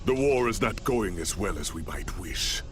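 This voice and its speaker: Grim sci-fi fleet commander, formal, commanding speeches, resolute, game voice work over music. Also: male voice, low voice, lively intonation, normal speed. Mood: grave, stern. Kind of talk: video game voice acting. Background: background music.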